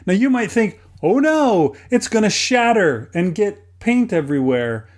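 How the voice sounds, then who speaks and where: A man's voice: high-pitched, big pitch swings, a little slow. A middle-aged American man narrates a how-to hobby video from a script, conversationally and with light self-deprecation. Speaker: toy hobbyist and video host.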